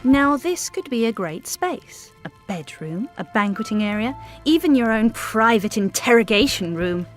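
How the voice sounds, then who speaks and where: A woman's voice: fairly high pitch, animated, moderate pace, hardly any pauses, faint music behind, natural voice. An actress voicing a fussy, condescending noblewoman in a video game. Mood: chirpy. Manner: genteel, chirpy, condescending. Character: prim, fussy noblewoman, house-proud and bossy. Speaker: game character.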